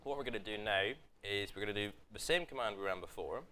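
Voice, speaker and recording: A man's voice: lowish voice, fast talker, slight background noise. A young man presenting a hands-on tech workshop to a live audience, talking through each step with fillers. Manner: steady step-by-step explaining with frequent fillers. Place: office event room with live audience.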